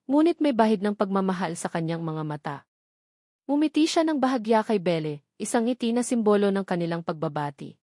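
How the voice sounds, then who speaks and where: A woman reads a scripted, sentimental love story as its narrator. Her voice sits at a middle pitch for a woman. The sound is dry, with hardly any room around it. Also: darkish tone, no echo.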